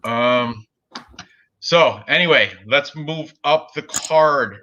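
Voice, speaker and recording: A man's voice: mid-range voice, takes it slowly, pushed and bright, highs cut off. A man in his forties hosting a casual sports betting chat, rambling with lots of fillers and frequent laughs. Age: 40s. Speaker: podcast host.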